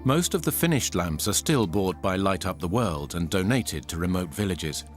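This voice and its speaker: A man's voice: low-pitched, animated, normal speed, soft background music. A man reading scripted documentary narration.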